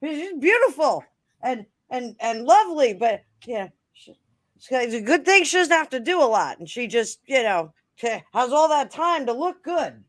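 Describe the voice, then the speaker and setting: A woman's voice: sits high, sing-song, unhurried. A woman voicing a rambling, crude older male politician in a comedic improvised bit. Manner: rambling, crude, laughing at own lines.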